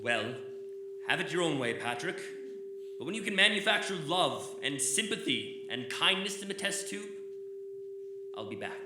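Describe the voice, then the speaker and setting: Man as a grave, expressive radio-drama doctor. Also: fairly high pitch, moderate pace, long pauses. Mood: sad, resigned. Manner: earnest, dramatic. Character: grave, moralizing male doctor, troubled old friend. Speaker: actor. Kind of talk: live old-time radio drama acting. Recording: echoey live stage, loud humming noise.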